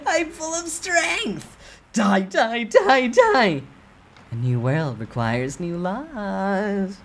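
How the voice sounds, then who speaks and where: Manic high-pitched young killer. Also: male voice, very animated, drawn-out words, pauses often, heavily acted. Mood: manic frenzy. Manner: manic, cackling shouts. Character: crazed young male killer, manic and bloodthirsty.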